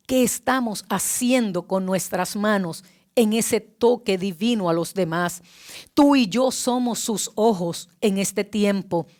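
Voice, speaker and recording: A woman preacher delivers a devotional exhortation at a very slow pace. Her voice sits a little high, and she places each phrase deliberately, repeating structures for emphasis. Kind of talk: devotional sermon, exhorting with repeated rhetorical questions.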